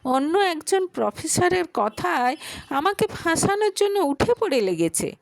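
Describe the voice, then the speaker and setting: A woman's voice: extremely high pitch, big pitch swings, unhurried, vocal fry, no room sound, pitched above the performer's own voice, exaggerated voice. A woman voicing a cornered, defensive husband who is a professor, talking tense and desperate in an audio story. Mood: angry, desperate.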